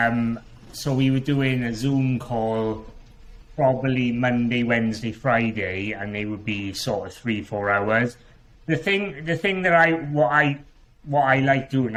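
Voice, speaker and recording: A man from Wales speaks with a strong Welsh accent at an ordinary middle pitch. He is a podcast guest, talking in a loose, chatty way that rambles and often restarts its sentences. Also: fairly bright tone. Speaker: guest Amazon seller. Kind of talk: casual podcast interview.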